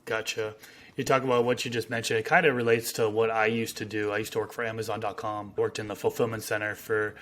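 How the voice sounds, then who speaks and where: A man in his thirties with a slightly raspy voice, hosting a podcast, reading sponsor spots steadily and chatting casually with a guest, close on a studio microphone at home. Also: on the low side, fast. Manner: steady, list-reading delivery. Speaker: podcast host. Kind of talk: read sponsor ads, then casual interview. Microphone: studio microphone on arm.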